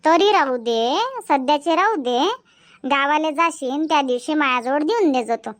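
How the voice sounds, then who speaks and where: A woman's voice: high voice, normal speed, lightly acted. A woman voicing a busy young village daughter-in-law and mother in a cartoon comedy, chatty, with a Varhadi Marathi accent. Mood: matter-of-fact. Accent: Varhadi Marathi from Vidarbha. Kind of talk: amateur cartoon comedy dubbing in village dialect.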